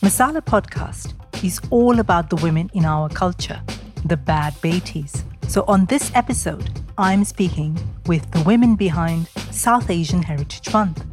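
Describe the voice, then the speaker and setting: A woman from India hosting a podcast, reading a measured introduction and then interviewing a guest. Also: lowish voice, animated, faint music behind. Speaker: podcast host.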